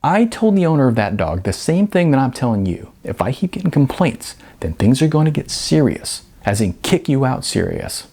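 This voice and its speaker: A man's voice: mid-range voice, sing-song, moderate pace, vocal fry, hardly any pauses, warm tone, husky, voice pushed up, exaggerated voice. A man voicing a firm, weary female apartment manager in her late twenties for a novel reading, blunt and no-nonsense. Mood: stern warning.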